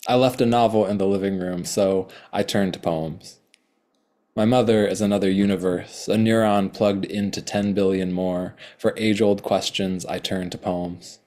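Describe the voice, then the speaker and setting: A man reading his own poems slowly and deliberately, with hesitant, rambling remarks between them. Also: sits low, steady tone, dark tone. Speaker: poet. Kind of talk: poetry reading with chatty asides.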